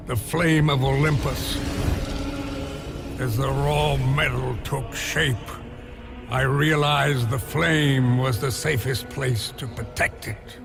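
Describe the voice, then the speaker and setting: Hulking old-world forge god for a game, slow gravelly bitter, protective dad, music behind. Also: male voice, mid-range voice. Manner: slow, brooding. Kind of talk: video game cutscene acting.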